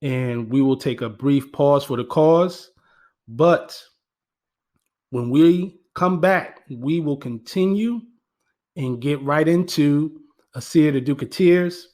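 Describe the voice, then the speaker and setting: A man hosting a community radio show, introducing the episode in an earnest, steady way with frequent fillers. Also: fairly high pitch.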